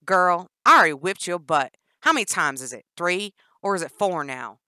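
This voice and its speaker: A woman voicing a wiry, volatile female kidnapper with an explosive temper and a Southern twang, loud and brash. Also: on the low side, expressive, moderate pace, no room sound, clean studio sound. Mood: threatening. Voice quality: brash and shouting.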